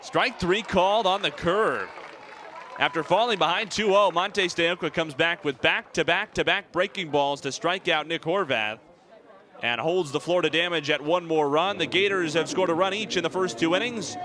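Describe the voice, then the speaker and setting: Young American man calling games, higher-pitched voice. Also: normal speed, sharp tone. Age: young adult. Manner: crisp detailed descriptions, voice raised on big plays. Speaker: play-by-play sportscaster. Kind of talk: live sports play-by-play commentary. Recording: live game ambience, clear background noise. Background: sports venue sounds.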